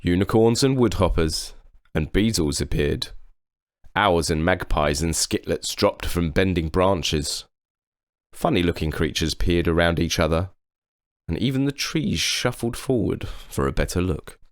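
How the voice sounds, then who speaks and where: A man with a very low voice narrates a children's tale in a close, dry recording. His delivery is unhurried, with animated swings in pitch. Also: dry recording. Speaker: storybook reader. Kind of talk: expressive narration of a children's story.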